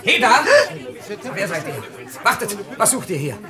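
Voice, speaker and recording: An actor playing a zealous, suspicious night-patrol police lieutenant in a 1950s German radio drama, clipped and menacing. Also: male voice, high-pitched, big pitch swings, unhurried, a crowd in the background. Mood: barking, triumphant.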